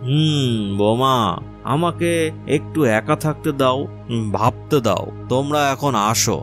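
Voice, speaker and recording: A man voicing a cautious, brooding old village grandfather in a cartoon, deliberate and authoritative. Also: medium pitch, fairly bright tone, soft background music, natural voice. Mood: pondering.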